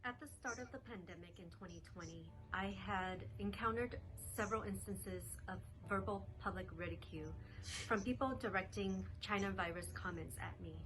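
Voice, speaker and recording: A woman's voice: mid-range voice, normal speed, low-quality recording. A woman giving a sincere, prepared personal statement for a community video. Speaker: community member sharing hopes.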